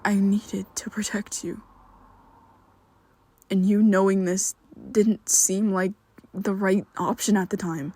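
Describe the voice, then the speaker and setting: A woman voicing a secretive, protective young male vampire best friend in an ASMR roleplay, talking haltingly and often dropping to a whisper. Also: medium pitch, steady tone, dark tone, slightly rough. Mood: pleading.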